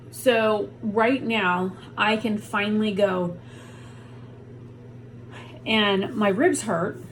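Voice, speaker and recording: A woman's voice: mid-range voice, a little hiss or hum, low-quality recording. A woman vlogger talking casually and frankly to the camera from home while in pain, rambling about her day. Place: at home on a heating pad.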